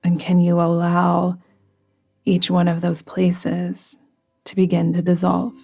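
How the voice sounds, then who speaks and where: A woman guides a meditation very slowly, in an even, barely varying tone. Her voice is soft and breathy, with a creaky edge as phrases settle. She instructs gently and soothingly. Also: lowish voice. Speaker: yoga nidra guide. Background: music.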